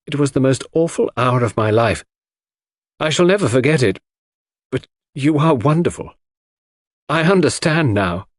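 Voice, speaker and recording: A man's voice: medium pitch, expressive, moderate pace, pauses often, no room sound, clean studio sound, lightly acted. A man voicing a shaken, grateful woman who makes an earnest, emotional confession in an audiobook. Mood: relieved, grateful. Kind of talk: audiobook character dialogue.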